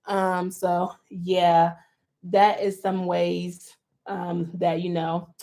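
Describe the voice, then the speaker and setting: A woman's voice: on the low side, little pitch movement, very slow, mellow. A woman hosting a casual podcast chat, playful and loose, reading out listener questions with plenty of filler "ums". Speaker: podcast host.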